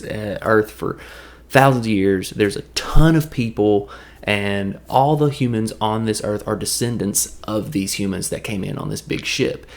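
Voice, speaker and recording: A man with a Southern Tennessee drawl talks through a film in a casual, wandering way. His voice sits a little low and has a gravelly, fry-edged texture. He keeps the tone light and joking. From Tennessee, USA. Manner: loose, jokey, drifts into quick impressions. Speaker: movie reviewer. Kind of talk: casual rambling movie recap.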